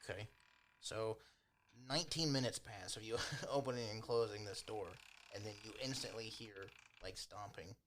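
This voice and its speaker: A man narrating a tabletop game as dungeon master in a casual, improvised way, with faint background noise. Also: on the low side. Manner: casual, colloquial.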